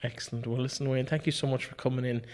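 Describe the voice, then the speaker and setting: A man's voice: mid-range voice, steady tone, fast, darkish tone. A man with an Irish accent hosting a casual, conversational interview in a chatty, bantering manner. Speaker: interview host.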